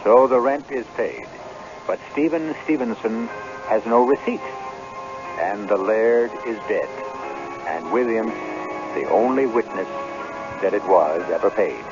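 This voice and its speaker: A man narrates an old radio drama as its host in a theatrical, literary manner. His voice is fairly low, with music clearly behind it. The broadcast sound is somewhat muffled. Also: lively intonation, measured pace, pauses often.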